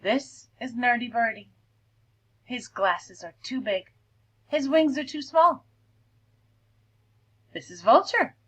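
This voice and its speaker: A woman teacher reading a picture book aloud and asking her class gentle questions about it. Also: on the high side, animated, slow, pauses often, slightly rough, highs cut off. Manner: gentle questioning, prompting children to think.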